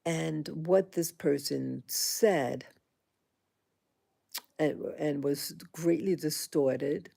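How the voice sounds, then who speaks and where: A woman in her sixties talking into her own vlog, halting and indignant, with frequent restarts and fillers. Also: low-pitched, vocal fry, dark tone. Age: 60s. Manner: aggrieved, indignant. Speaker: vlogger. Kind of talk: personal monologue vlog.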